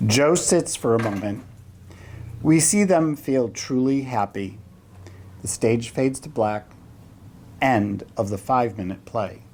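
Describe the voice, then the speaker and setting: A host introduces a short play and reads its stage directions. The voice is mellow and warm, and the pace is slow and deliberate, with halting phrases. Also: mid-range voice, a little hiss or hum. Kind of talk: introducing and narrating a play reading.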